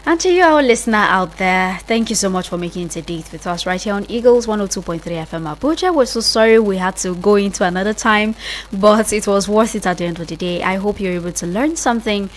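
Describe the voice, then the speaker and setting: A woman's voice: medium pitch, animated, fairly quick. Nigerian woman hosting a radio show. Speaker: radio host. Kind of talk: live radio interview and sign-off.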